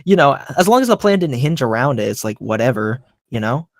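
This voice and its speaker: A man's voice: mid-range voice, sing-song, a little fast, a crowd in the background. A man chatting casually in a debate, talking in a hesitant, conceding way. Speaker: co-host of a ranking discussion.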